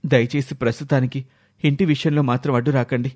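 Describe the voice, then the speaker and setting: A man's voice: mid-range voice, flat delivery, fast, a little mellow, close to an everyday voice. A man playing a dutiful young son, earnest and resolute, in a dramatised moral story. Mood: pleading.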